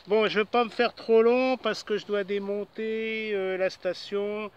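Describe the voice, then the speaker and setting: A man's voice: sits high, steady tone, measured pace, clean tone, lo-fi sound. A man chatting casually as an amateur radio operator, speaking from a portable station outdoors.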